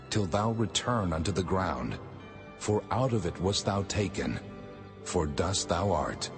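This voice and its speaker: An actor playing a stern, commanding God, solemn and authoritative, in a dramatized audio Bible with long reverb. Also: male voice, sits low, exaggerated voice. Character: the Lord God, male, stern commanding judge. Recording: long hall reverb with music.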